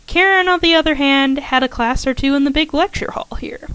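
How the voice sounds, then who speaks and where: A woman's voice: high voice, a little fast, a bit bright, no echo. Woman reading a story, dry close sound. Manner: slightly shaky, careful reading. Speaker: fanfiction audiobook reader.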